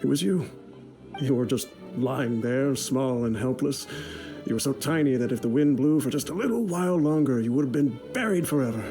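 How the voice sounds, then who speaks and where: A man's voice: mid-range voice, normal speed, over music, natural voice. An actor playing an ailing older mercenary father in a video game, boastful and affectionate, rambling through a letter in voice-over. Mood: boastful, nostalgic. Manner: rambling, chuckling, jovial.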